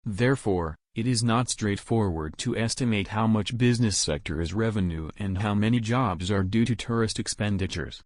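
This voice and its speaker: A man's voice: fairly low pitch, normal speed, hardly any pauses, no room sound, studio quality. A man reading a scripted practice passage aloud as a model reader.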